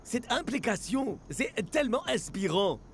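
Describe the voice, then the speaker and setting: A man voicing a naive, excitable young footballer in a cartoon, gushing and exaggerated. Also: sits high, unhurried, gravelly, slight background noise, heavily acted. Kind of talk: animated cartoon dubbing. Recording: music underneath.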